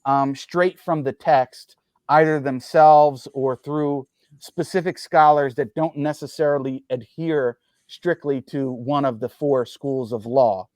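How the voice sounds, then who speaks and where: A man's voice: medium pitch, fairly even intonation, slow pace, darkish tone, dry recording. A man from New Jersey talking as an interview guest, explaining his views carefully with many ums and uhs and careful qualifications.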